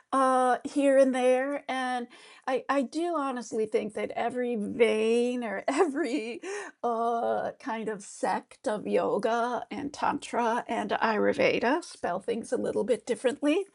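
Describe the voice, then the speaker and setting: A middle-aged woman with a high, slightly gravelly voice leads a beginner's mantra meditation. She speaks slowly and gently, encouraging listeners with relaxed fillers and small restarts. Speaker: yoga therapist teaching mantra. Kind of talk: guided meditation lesson.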